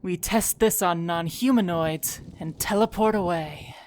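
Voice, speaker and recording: A woman's voice: lowish voice, measured pace, a little hiss or hum, natural voice. A woman playing a composed, analytical villain in a fantasy audio drama. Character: analytical, composed female villain scheming with accomplices.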